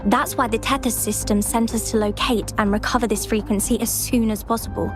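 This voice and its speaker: An earnest, polite young researcher, voiced by a woman explains things carefully in a video game cutscene. Her voice sits fairly high. Game music plays clearly behind her. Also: steady tone, brisk, natural voice. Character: earnest young female field researcher, worried.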